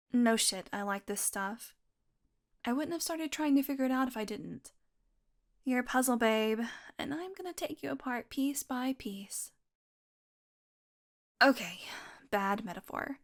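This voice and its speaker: Actress as young female vampire, slightly high pitch, playful, wry, curious, casual intimate audio roleplay. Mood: earnest, casual.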